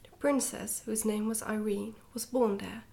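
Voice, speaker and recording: A woman reading a storybook in a soft-spoken ASMR style, slipping often into a whisper, with a gentle, hushed delivery. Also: mid-range voice, fairly even intonation, moderate pace, vocal fry. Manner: gentle, hushed delivery with some stumbles over words. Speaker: ASMR reader, channel host.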